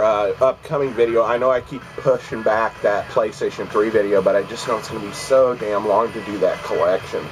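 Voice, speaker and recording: A man's voice: fairly low pitch, rough recording. A man with a congested voice, rambling casually with lots of ums as he vlogs about his video game pickups at home. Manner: rambling, many ums and restarts. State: congested from allergies. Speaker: video game collecting vlogger.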